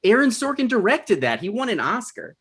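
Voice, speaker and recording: A man's voice: sits high, very animated, brisk, a bit bright, slightly rough, heavily acted. A man playing an eager, hapless aspiring TV writer in a comedy table read. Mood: challenging.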